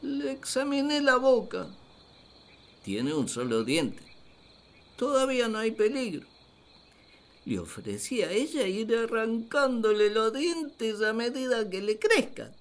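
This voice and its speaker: A woman plays a naive, puzzled first man in a radio play, full of his own importance. The delivery is slow and swings very widely in pitch. He ponders like an amateur naturalist, pompous and perplexed. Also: big pitch swings, heavily acted. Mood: baffled. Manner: theatrical, perplexed, pompous musing. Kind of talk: radio drama diary monologue.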